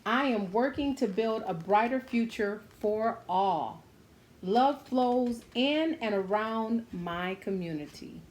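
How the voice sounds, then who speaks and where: A woman's voice: a little high, expressive, takes it slowly, darkish tone, a little hiss or hum. A woman reading a children's book aloud, adding simple, encouraging asides spoken directly to her young listeners. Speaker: children's story-time host reading a picture book aloud.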